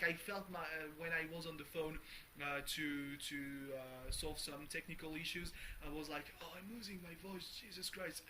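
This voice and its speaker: Rambling young man with a bright voice. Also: high-pitched, slight background noise, low-quality recording. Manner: rambling, many restarts and fillers, swears casually. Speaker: vlogger. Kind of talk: casual personal video diary.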